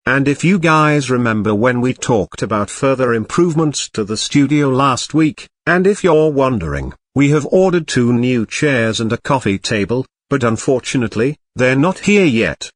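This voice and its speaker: A man presents a talk show in a scripted hosting style. His mid-pitched voice moves up and down in an animated way. The sound is dry, with very little room in it. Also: no echo. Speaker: show host.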